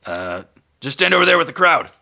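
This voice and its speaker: A man voicing a brisk male half-orc who orders people out of the way. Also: on the high side, very animated, pushed and bright, voice pushed up, exaggerated voice. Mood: brusque. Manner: bossy, curt orders. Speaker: game master.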